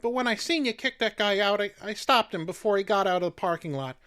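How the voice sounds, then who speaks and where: A man's voice: high-pitched, fast talker, sharp tone. An actor playing an anxious, simple-hearted handyman, folksy and repeating his phrase endings in radio drama style. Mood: pleading. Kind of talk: radio drama character acting.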